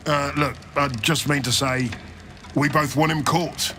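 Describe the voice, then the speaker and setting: A man's voice: on the high side, fairly even intonation, a little slow, pushed and bright, a little hiss or hum. A man voicing a self-serving, greedy banker in a video game, with a wry, glib, sarcastic manner. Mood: persuasive.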